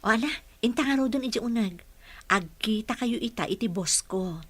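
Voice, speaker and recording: A woman with an Ilocano accent playing a patient, affectionate working mother in a radio drama, polite and gently instructing. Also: fairly high pitch, normal speed, lightly acted.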